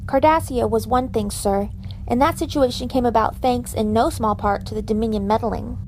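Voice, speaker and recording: An actress playing a skeptical starship doctor, formal and dryly argumentative, in a sci-fi audio drama. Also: female voice, fairly high pitch, moderate pace, a little mellow, a little hiss or hum, close to an everyday voice, poor audio. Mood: disapproving. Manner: crisp, formal, dry and argumentative.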